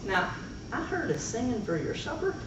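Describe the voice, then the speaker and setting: A male storyteller with a homespun, rustic way of talking tells a comic tale. His pitch swings widely. He is heard from a distance in a noisy, echoing room. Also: big pitch swings, normal speed, highs cut off. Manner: folksy country storyteller with rustic slang. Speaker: contest speaker telling a tall tale. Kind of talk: comic storytelling to a live club audience. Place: meeting room before an audience. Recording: echoey, noisy room recording from far off.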